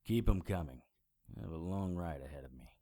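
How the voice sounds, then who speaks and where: A man's voice: low-pitched, animated, brisk, mellow. An actor playing a wry, world-weary private investigator who narrates his own story in a dry, sardonic way. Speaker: narrator and lead. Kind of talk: hardboiled audio drama narration.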